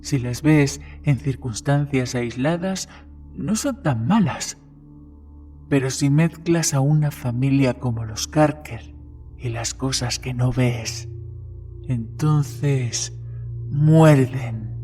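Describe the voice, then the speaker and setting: A man's voice: medium pitch, slow, warm tone, soft background music, natural voice. A man playing a serious young man who tells eerie legends in a horror story, earnest and ominous. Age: young adult.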